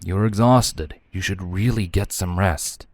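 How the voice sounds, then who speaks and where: A man voicing a kindly but firm male pony doctor in a story reading, calm and gently insistent. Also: low-pitched, unhurried, no echo, pitched below the performer's own voice. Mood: soothing.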